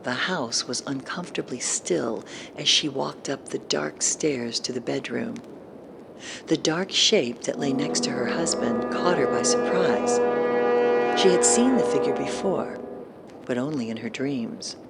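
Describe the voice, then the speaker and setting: A narrator reading a scripted horror story in a measured, suspenseful way. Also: medium pitch, animated, gravelly. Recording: loud music under the narration. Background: loud music.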